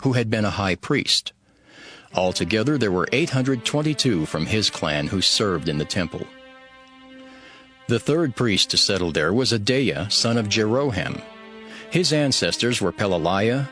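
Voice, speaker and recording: Guy with a lowish voice reading the bible, measured and formal, clear music playing behind him. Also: a bit bright. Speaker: Bible narrator. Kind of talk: scripted scripture narration for dramatized audio Bible. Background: background music.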